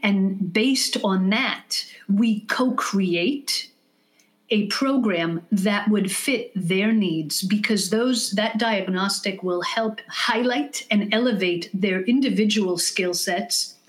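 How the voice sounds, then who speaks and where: A woman guest on an interview podcast, a leadership consultant giving measured, explanatory answers with examples. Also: medium pitch. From United States.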